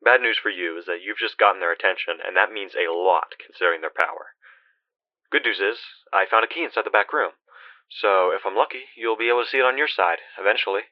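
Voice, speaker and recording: Low-voiced, desperate trapped man on a muffled call, acted. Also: no room sound, close to an everyday voice. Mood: tense, urgent. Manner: halting, weary, profane, confiding. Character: trapped dead man Peter, guilt-ridden, desperate older brother. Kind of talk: horror game phone-call voice acting.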